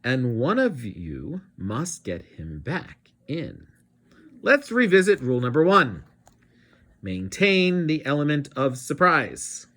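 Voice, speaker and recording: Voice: medium pitch, huge pitch swings, takes it slowly, a bit bright, heavily acted. A storybook reader voicing an exacting male monster boss in an emphatic, schoolmasterly manner. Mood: instructive.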